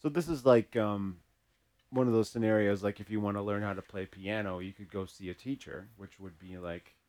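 Guy podcast host, lowish voice, casual chat, kinda thinking out loud. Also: steady tone, moderate pace. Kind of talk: casual conversational interview.

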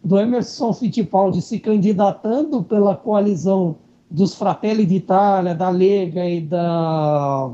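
A woman's voice: mid-range voice, a little slow, warm tone. A woman with a Brazilian accent chatting casually as a podcast panelist. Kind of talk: casual chat. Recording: dull, muffled lo-fi audio.

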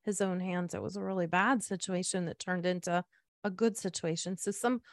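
Woman, medium-pitched, chatty and earnest, laughs between phrases, dry close recording. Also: dry recording. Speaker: spiritual medium. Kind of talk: casual podcast conversation.

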